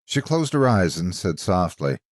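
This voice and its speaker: Male story narrator, low register, highly varied intonation, measured dramatic delivery. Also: sing-song, no room sound, clean studio sound.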